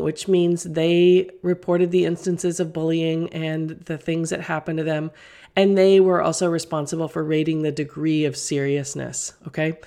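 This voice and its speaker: A woman's voice: on the low side, mellow. A middle-aged woman hosting a podcast as a teacher, getting over a cold, explaining things earnestly with fillers and restarts. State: recovering from a cold. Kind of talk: solo podcast talk.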